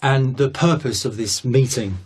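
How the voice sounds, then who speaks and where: A man's voice: mid-range voice, a little slow, darkish tone, breathy edge. A man playing an insistent police interrogator in a naturalistic radio drama. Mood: pressing, accusing.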